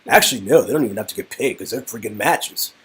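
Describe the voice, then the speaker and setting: A man's voice: fairly low pitch, fast, husky. A man doing a dramatic reading of game dialogue, mixed with rambling, joking casual banter. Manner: animated, improvising, rambling when joking. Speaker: reader performing an interactive game's dialogue.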